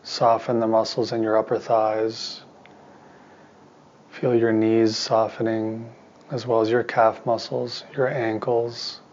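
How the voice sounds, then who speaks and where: A man teaching meditation in a soft voice, giving calm, soothing instructions in short, spaced phrases. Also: fairly low pitch, slow, muffled recording, a little hiss or hum. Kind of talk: guided meditation.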